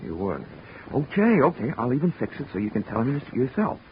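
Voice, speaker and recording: Wisecracking hard-boiled investigator, noisy old radio. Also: male voice, mid-range voice, huge pitch swings, a little fast. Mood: coaxing, exasperated. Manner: wry, sardonic. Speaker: lead actor and narrator. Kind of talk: 1940s radio detective acting. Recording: noisy, muffled 1940s radio recording.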